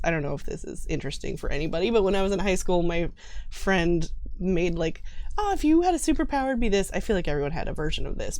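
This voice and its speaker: Lower-pitched giggly woman chatting. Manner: giggly, animated storytelling with mock quotes. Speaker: guest, tabletop roleplaying show cast member. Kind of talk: casual interview chat.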